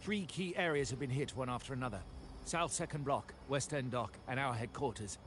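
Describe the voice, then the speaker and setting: A man's voice: mid-range voice, brisk, background noise, lightly acted. A man playing a stern, resentful mafia lieutenant in a video game, grave, clipped and authoritative. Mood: grim.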